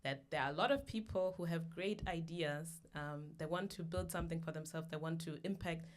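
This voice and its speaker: A low-pitched woman from Germany speaks as a guest on a conversational podcast. Her delivery is thoughtful and measured, and she often pauses with "um" while she gathers her ideas. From Germany (Hamburg). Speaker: guest, founder of a business platform.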